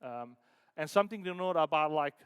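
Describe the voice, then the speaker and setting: A man from Denmark giving an informal conference talk as a startup founder, halting and conversational, peppering sentences with filler words like "like" and "you know". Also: fairly high pitch, lively intonation, smooth. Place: conference hall stage. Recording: echoey hall with long reverb.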